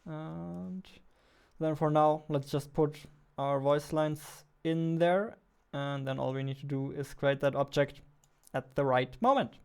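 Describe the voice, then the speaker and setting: A man's voice: fairly high pitch, slow pace, creaky, darkish tone, clear, resonant tone. A young man, a game developer, chatting casually while he codes, thinking aloud and joking at himself. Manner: thinking aloud, self-deprecating, chuckling. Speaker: game developer streaming his work. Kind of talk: casual live-stream chat while coding. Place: home room at a desk.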